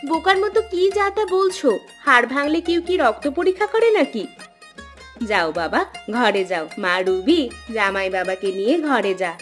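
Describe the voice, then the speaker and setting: A fussy, scolding middle-aged housewife from a cartoon folk tale, voiced by a woman, speaks in a high voice. She is emphatic and nagging but caring underneath, with music and birdsong behind her. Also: animated, normal speed, fairly bright tone. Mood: fussing. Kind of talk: cartoon dialogue acting.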